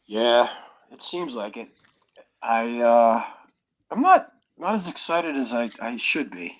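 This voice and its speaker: A man's voice: mid-range voice, big pitch swings, slow pace, fairly bright tone. A man chatting casually as a host, a little hesitant, thinking aloud as he goes. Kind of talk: casual chat. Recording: dull lo-fi voice channel.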